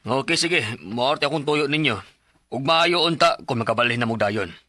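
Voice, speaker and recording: Assertive, sharp-voiced middle-aged landlord. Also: male voice, mid-range voice, moderate pace, no echo. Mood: firm, businesslike. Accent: Cebuano Filipino. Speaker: radio drama actor. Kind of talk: radio drama acting.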